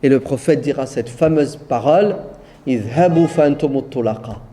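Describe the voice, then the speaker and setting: A man's voice: medium pitch, moderate pace, warm tone. A man preaching in an oratorical storytelling style, with rhetorical questions and emphatic repetition. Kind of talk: oratorical religious storytelling to an audience.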